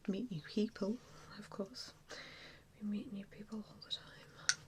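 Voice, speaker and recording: A woman's voice: mid-range voice, steady tone, measured pace, lightly acted. A woman voicing a kindly, apologetic vampire in a whispered ASMR roleplay, hesitant and reassuring as she tends to a patient. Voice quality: soft breathy whisper. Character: nice, apologetic female vampire tending a newly bitten patient.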